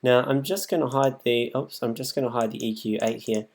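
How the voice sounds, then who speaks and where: A man's voice: mid-range voice, steady tone, a little fast. A man presenting a music production tutorial in a casual, improvised way, thinking aloud as he demonstrates on his computer. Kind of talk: casual, improvised software walkthrough. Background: music loops from the demonstrated software.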